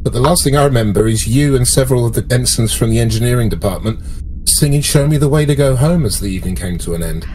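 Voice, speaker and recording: A man's voice: medium pitch, fast talker, a little mellow, a little hiss or hum, natural voice, rough recording. A man playing an easygoing, slightly scatterbrained science officer in a tabletop game, talking in a dry, casual way. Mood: teasing.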